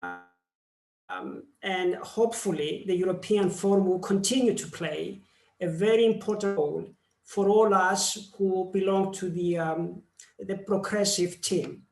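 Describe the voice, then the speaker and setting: A man with a Greek accent, a member of parliament, giving a formal seminar speech in a slow, measured way with pauses. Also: sits high, lively intonation, creaky, roomy sound, rough recording.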